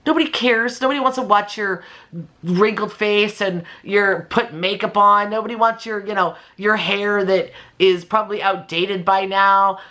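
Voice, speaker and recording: A woman's voice: medium pitch, moderate pace. A woman in her fifties talking casually and candidly in a motivational vlog, emphatic and given to acting out remarks. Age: 50s. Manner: animated and emphatic, acts out imagined remarks; candid, conversational. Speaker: video creator and channel host.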